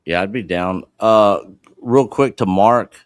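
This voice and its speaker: A man from Alabama joins a livestream over a video call from a small laptop. His voice is fairly low and comes through dry and close. He stays laid-back, giving short replies and reading the chat aloud. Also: takes it slowly, smooth, no room sound.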